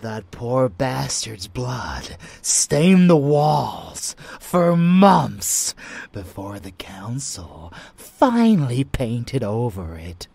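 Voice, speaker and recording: A man acting as an unhinged, seductive male vampire lover in an intimate ASMR audio roleplay, breathy and often whispering, with teasing, menacing affection. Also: medium pitch, very animated, very slow, darkish tone, no echo, full character voice. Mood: gloating, hungry.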